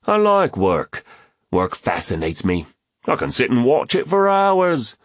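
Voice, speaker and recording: A man's voice: mid-range voice, huge pitch swings, measured pace, no echo, pitched above the performer's own voice. A male narrator playing a jovial, teasing Irishman in an audiobook, with a mock Irish accent and a theatrical, bantering delivery. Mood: playful. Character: jovial, teasing Irishman trading quips at a party.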